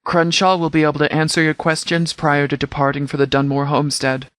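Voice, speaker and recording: Guy voicing a stern military commander for a video game, slightly high for a man, measured and formal, pretty dry sound. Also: completely flat delivery, a bit bright, dry recording, close to an everyday voice. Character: stern, caring male military commander briefing troops. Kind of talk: scripted game dialogue.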